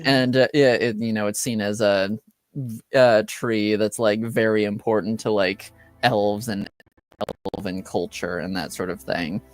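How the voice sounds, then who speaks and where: A man's voice: on the low side, steady tone, a little slow, soft background music. A man running a tabletop roleplaying game as game master, thinking aloud with hesitant, unscripted narration.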